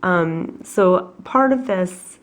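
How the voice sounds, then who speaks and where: A woman with a cold giving a chatty, reflective weekly tarot reading at home, her voice husky and her talk full of fillers. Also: on the low side, expressive, extremely slow. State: has a cold, coughing. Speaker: tarot reader.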